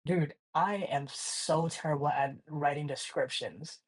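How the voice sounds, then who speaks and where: A man's voice: fairly high pitch, a little slow, husky, low-quality recording. A young man from Hawaii, a guest artist in a casual interview, talking in a laid-back, slangy way full of "like" and "you know".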